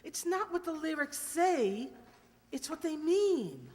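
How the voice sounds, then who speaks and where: Radio play heroine, played by a woman: high, slow, sentimental, theatrical wife, reverberant hall. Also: sing-song, a little mellow, exaggerated voice. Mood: earnest. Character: sentimental, excitable young wife turned amateur sleuth. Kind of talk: 1940s radio acting. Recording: echoey hall.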